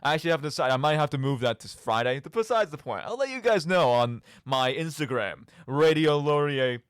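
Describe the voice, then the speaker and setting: A man's voice: on the high side, lively intonation, fairly quick, smooth, dry recording, studio quality. A young man hosting a radio show, talking casually about video games in a rambling, jokey, self-deprecating way. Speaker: radio show and podcast host.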